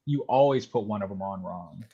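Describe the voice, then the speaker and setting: A man's voice: lowish voice, moderate pace, over a noisy crowd. Dull-sounding lo-fi man in casual chat. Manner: informal, sweary, rambling.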